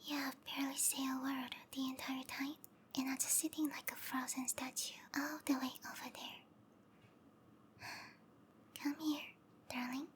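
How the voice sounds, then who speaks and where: A woman voicing a sweet, obsessive snake-girl lover in a breathy, cooing whisper for an intimate ASMR roleplay. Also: high-pitched, little pitch movement, measured pace, long pauses, a little hiss or hum. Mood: tender, possessive. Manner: cooing, tender, possessive.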